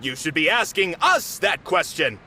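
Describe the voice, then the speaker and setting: A man's voice: high-pitched, sing-song, normal speed, bright, forceful tone, slight background noise, full character voice. A man playing a spirited, loyal young friend in a video game, hearty and exclamatory. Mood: indignant. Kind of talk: scripted video game dialogue.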